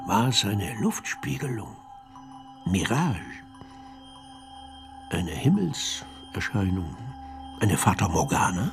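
A man narrating a literary radio play as a pensive storyteller, measured and deliberate, pausing between phrases. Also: low-pitched, sing-song, over music, full character voice. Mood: pensive, wondering. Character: pensive male narrator musing over vanished letters.

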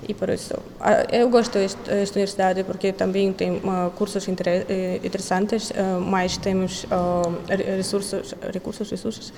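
A woman's voice: mid-range voice, little pitch movement, moderate pace, gravelly, few pauses, darkish tone. A young woman from Kazakhstan, a master's student, answering interview questions hesitantly in a language she is still learning, with many fillers and restarts. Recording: echoey hall with faint noise.

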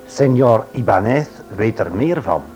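A man's voice: mid-range voice, animated, a little slow, darkish tone, faint music behind. A man narrating and announcing a radio detective serial in a formal, dramatic manner. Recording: hissy old radio recording.

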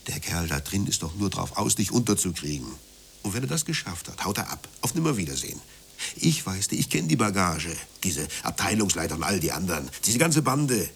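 Radio play: bitter working-class man, ranting and contemptuous, very animated intonation, audible hiss behind. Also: low voice, a little fast, vocal fry, heavily acted. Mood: angry, contemptuous. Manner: scornful, ranting, emphatic. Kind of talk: naturalistic radio play dialogue.

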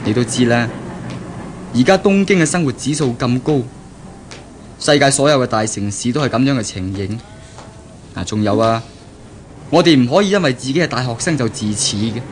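An actor playing a pragmatic young graduate and fiancé in a 1970s Cantonese radio drama, explaining things earnestly and persuasively. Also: male voice, medium pitch, animated, normal speed, long pauses, a little hiss or hum, natural voice. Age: young adult.

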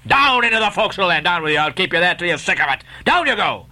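A man's voice: high voice, big pitch swings, quick pace, sharp tone, pitched above the performer's own voice, full character voice. A man doing a roaring stern sea captain. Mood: roaring orders. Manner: roaring commands, drawn out. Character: stern shouting ship captain, man, harsh.

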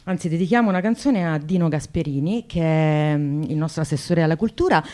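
A woman talking spontaneously on the radio about a protest, often halting and searching for words. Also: low voice, dark tone. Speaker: activist guest, singer.

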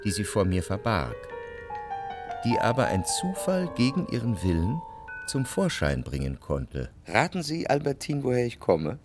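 Low-voiced, brooding male narrator. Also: sing-song, over music. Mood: reflective, brooding. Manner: reflective, measured literary delivery in long sentences. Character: introspective, jealous male lover recalling his past. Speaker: narrator in a radio drama. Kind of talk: literary radio drama narration.

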